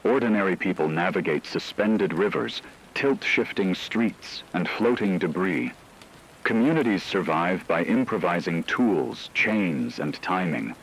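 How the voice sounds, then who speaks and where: A man delivering dramatic scripted narration in a grave, measured, portentous way with deliberate pauses. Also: low voice, expressive, vocal fry, a little hiss or hum, rough recording. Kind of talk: dramatic scripted narration in the manner of a radio story.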